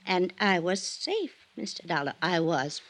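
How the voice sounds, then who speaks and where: A wealthy older society widow in a vintage radio mystery. Her voice is husky and swings freely up and down. She rambles effusively and wistfully about the old days. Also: on the low side, sing-song, measured pace, fairly bright tone, full character voice. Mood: wistful nostalgia. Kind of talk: old-time radio drama acting.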